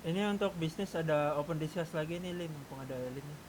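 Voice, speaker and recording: A man's voice: quick pace, a crowd in the background. A man in a casual roleplay gaming discussion, explaining things and asking the others for their views. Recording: clearly noisy game voice chat, low rumble.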